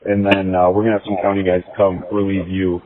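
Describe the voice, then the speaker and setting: Male incident commander, very low and monotone, informal orders on radio. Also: a little fast. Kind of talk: informal police radio instructions. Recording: tinny narrowband police radio transmission.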